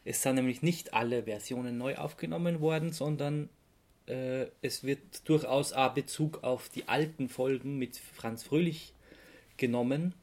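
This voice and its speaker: Male podcast host, Austrian accent, conversational explainer, halting delivery with an amused edge. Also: medium pitch, darkish tone. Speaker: podcast host presenting research. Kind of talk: casual podcast chat, explaining research.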